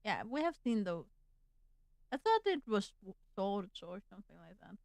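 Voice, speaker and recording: A woman streaming a video game who chats casually and reads the on-screen text aloud, slowly and haltingly, sometimes tripping over words. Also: medium pitch, sing-song, gravelly, darkish tone, no room sound, studio quality. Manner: slow, halting, trips over words while reading. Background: faint game music.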